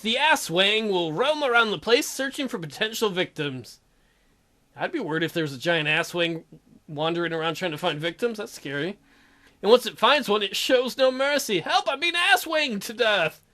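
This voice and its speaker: A man hosting a let's play, reading a dating sim's dialogue aloud and cracking crude jokes between the lines. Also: sits high. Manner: animated, jokey, reads character lines in shifting voices. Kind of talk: reading a dating sim aloud with joking commentary.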